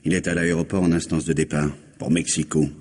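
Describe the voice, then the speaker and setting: A man playing a brisk, urgent police deputy in a radio mystery, with a husky voice. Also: extremely low pitch, flat delivery, a little mellow. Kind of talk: vintage radio mystery acting.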